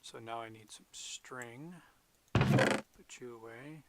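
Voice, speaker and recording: A man with a gravelly, creaky voice talks slowly through his game, muttering and half-whispering to himself as his voice starts to go. A steady hiss of noise sits under the recording. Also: medium pitch. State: losing his voice. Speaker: gaming video host. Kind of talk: casual solo gameplay commentary.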